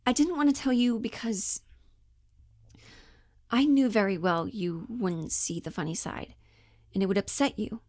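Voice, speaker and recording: A woman's voice: medium pitch, measured pace, long pauses, a little mellow, dry recording, clean studio sound, lightly acted. A woman playing an earnest, mildly condescending male office worker, hesitant and placating, in a cold-read stage play. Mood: placating, indignant.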